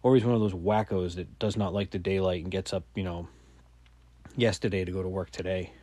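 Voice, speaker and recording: A man's voice: low-pitched, steady tone, a little fast, dark tone, no echo. A man in his forties telling rambling, self-mocking stories on his podcast, swearing casually. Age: 40s. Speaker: podcast host. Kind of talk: casual solo storytelling.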